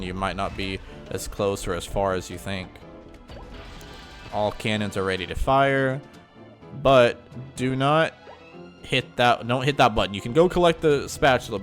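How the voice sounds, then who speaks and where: Man, fairly low voice, slightly nasal, mildly congested, casual gameplay commentary, rambling with ums, soft music under it. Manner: chatty, rambling, with frequent ums and self-corrections. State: mild sinus congestion. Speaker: gaming channel host.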